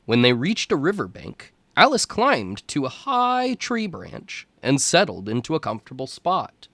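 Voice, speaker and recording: Slow, expressive man reading a storybook. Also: a little high, gravelly, no echo. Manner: slow, deliberate phrasing for young listeners. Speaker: teacher reading aloud to young children. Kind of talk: storybook read-aloud.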